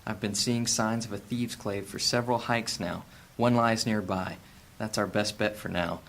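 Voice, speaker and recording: A man's voice: on the low side, fairly even intonation, normal speed, creaky, fairly bright tone. An actor playing a terse male ranger hurrying a princess to safety, giving curt commands. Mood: urgent.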